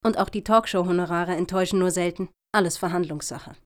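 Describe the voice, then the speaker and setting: A woman's voice: on the low side, fairly even intonation, fast talker, natural voice. A woman acting in a radio satire as a composed, self-assured political campaigner with a polite, prim manner. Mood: smugly composed.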